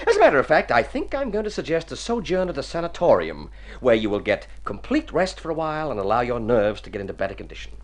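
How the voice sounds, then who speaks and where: A man's voice: mid-range voice, huge pitch swings, fast talker, few pauses. A man playing a formal, dry, self-interested doctor in a radio drama, precise with small hesitant hems. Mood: smoothly persuasive. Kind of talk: mid-century radio drama acting.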